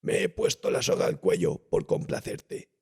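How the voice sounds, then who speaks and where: A man voicing an anguished, frightened young male student with a hoarse, faltering voice in an audiobook horror story. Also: normal speed, darkish tone, close to an everyday voice. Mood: shaken. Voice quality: hoarse, husky. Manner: desperate, faltering.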